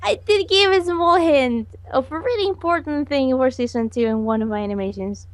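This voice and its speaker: A woman's voice: high voice, brisk, a crowd in the background. Giggly, earnest young-sounding woman. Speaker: animator guest. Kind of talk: casual interview answers.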